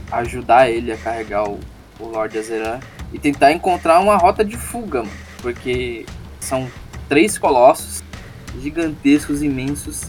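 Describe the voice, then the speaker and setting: Male player, casual slangy delivery full of restarts, mid-pitched voice, light music bed. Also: darkish tone. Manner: slangy, halting with repeated words. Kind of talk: in-character casual play.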